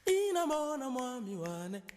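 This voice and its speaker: A man singing a slow a cappella song. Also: very high voice, big pitch swings, slight background noise. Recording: long reverb with loud music.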